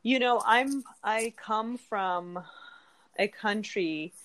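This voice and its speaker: A woman's voice: mid-range voice, very slow, a bit bright, poor audio. A woman from Iran, a dietitian, talking reflectively about her career and food culture in an interview. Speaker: dietitian guest. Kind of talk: conversational interview.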